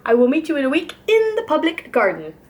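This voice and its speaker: A woman's voice: sits high, huge pitch swings, fast talker, pitched above the performer's own voice. A woman voicing a cheerful, polite father duck in a hopeful storybook manner.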